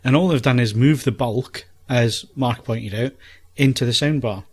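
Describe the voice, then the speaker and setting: A man's voice: mid-range voice, moderate pace, slightly rough. A man hosting a podcast, talking casually.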